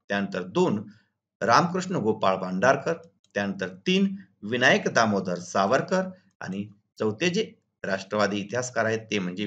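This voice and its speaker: A male teacher takes students through exam questions in a muffled, low-fidelity recording. His mid-pitched voice swings up and down in a lively way as he reads out each item. The delivery is formal, methodical and instructional. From Maharashtra, India. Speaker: school teacher and video channel host. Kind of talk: instructional exam walkthrough, reading questions and answers. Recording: dull lo-fi recording.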